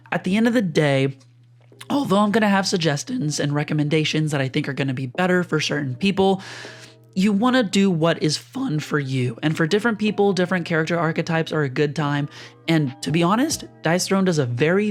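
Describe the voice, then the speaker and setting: Hoarse man chatting casually. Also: on the high side. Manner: chatty, explanatory, uses lots of fillers. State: hoarse from a sore throat. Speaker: board game youtuber. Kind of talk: casual screen-share walkthrough.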